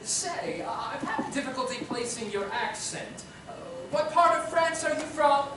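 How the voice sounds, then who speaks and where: A man's voice: extremely high pitch, lively intonation, measured pace, distant mic, background noise. A male actor playing a naive, dazed, lovestruck young groom, earnest and bumbling, in old-fashioned musical comedy style. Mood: cheerful, puzzled. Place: theatre stage. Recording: echoey theatre recorded from afar, music.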